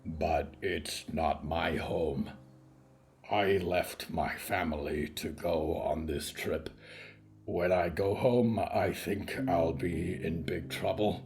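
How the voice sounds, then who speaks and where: Man doing a very deep, slow, glum creature. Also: fairly even intonation, darkish tone, faint music behind. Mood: guilty, glum. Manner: plain short sentences, subdued, glum, naive.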